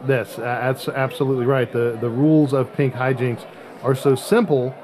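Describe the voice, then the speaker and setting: Halting male podcast host, busy background. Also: mid-range voice, little pitch movement, crowd noise. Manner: halting, wordy and precise in explaining. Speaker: host of a hobby game podcast. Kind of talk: casual chat, game review.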